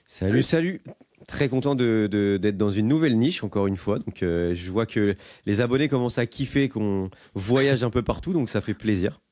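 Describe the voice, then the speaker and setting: A man's voice: on the low side, normal speed, darkish tone, no echo, clean studio sound. A man co-hosting a podcast, chatting casually. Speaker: podcast co-host.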